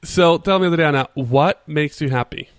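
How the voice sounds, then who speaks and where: A man's voice: fairly high pitch, normal speed, no echo, studio quality. A man hosting a podcast, asking curious follow-up questions with a few stumbles. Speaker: podcast host, interviewer. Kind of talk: casual interview.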